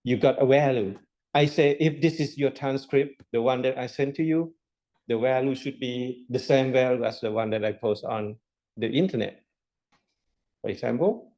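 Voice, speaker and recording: A man's voice: mid-range voice, normal speed, warm tone. A man lecturing a university class, explaining step by step with rhetorical questions and repetition. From Thailand.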